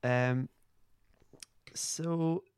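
A man's voice: on the high side, fairly even intonation, drawn-out words, clean tone, dry recording. A man hosting a podcast, playfully reading a comic script aloud and breaking off to comment. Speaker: podcast host.

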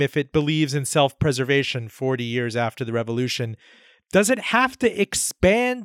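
A man hosting a radio interview show, reading station plugs and asking lively, probing questions. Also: on the high side, no room sound, studio quality. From Iranian heritage.

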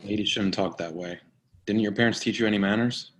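A condescending, bullying policeman, acted by a man in a script reading. His voice is on the low side, patronising and faintly menacing as he gives orders. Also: a little fast, a bit bright, close to an everyday voice. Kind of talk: screenplay table read.

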